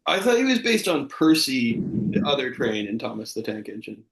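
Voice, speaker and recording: A man's voice: mid-range voice, big pitch swings, moderate pace, gravelly. A man bantering casually on a comedy show in a mock-earnest way. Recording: echoey room sound.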